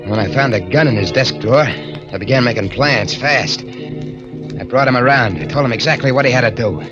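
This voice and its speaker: A man's voice: mid-range voice, normal speed, over music, lightly acted. A man playing a streetwise tough convict. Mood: grim. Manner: streetwise tough-guy talk, ungrammatical slang. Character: tough male convict on the run, hard-bitten. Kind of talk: 1940s radio acting. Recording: dull lo-fi old radio recording.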